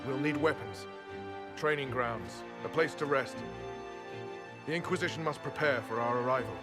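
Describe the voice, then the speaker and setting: Man as solemn templar knight under loud music. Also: medium pitch, moderate pace, close to an everyday voice. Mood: solemn, resigned. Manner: formal, declamatory. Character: solemn, weary male templar knight of a disgraced order. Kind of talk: video game cutscene acting. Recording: loud game music behind the voice.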